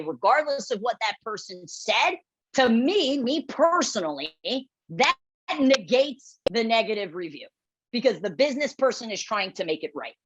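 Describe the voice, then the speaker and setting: Blunt, loud-voiced woman coaching. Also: a little high, breathy edge. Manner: blunt and direct, checks in with the audience often. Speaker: webinar presenter coaching school owners. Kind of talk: instructional webinar talk. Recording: dull lo-fi webinar audio.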